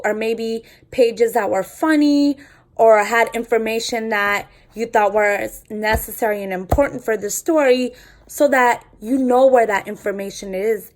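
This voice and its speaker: A woman with a fairly high voice reads a children's book aloud as a teacher would. She explains things to her students in an instructive read-aloud style. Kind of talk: read-aloud storytelling with lesson commentary.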